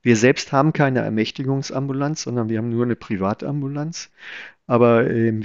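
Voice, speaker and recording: A man's voice: fairly low pitch, no room sound. A middle-aged man from Germany, a chief physician, explaining his work in a conversational podcast interview with frequent hesitations. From Germany (Palatinate-born, raised in Berlin). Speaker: guest, chief physician in nephrology. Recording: dull, dry remote recording.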